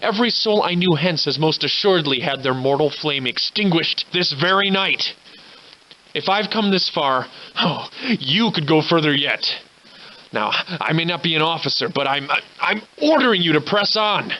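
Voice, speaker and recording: A man's voice: a little high, animated, normal speed, close to an everyday voice. A man voicing a surly, hard-drinking, grandiloquent survivor in a video game, with florid old-fashioned diction, in slightly muffled audio. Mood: resolute. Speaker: video game protagonist voice actor. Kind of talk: theatrical video game character dialogue.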